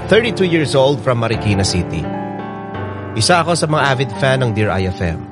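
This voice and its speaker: A man with a Filipino accent voicing a chatty letter-writer's first-person story for a radio drama, playful and confiding. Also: mid-range voice. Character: chatty storyteller reading a thirty-two-year-old woman's letter. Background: plucked guitar music.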